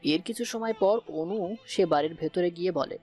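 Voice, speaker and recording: Mellow, low-voiced female storyteller. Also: moderate pace. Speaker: narrator. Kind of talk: scripted romantic story narration. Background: faint background music.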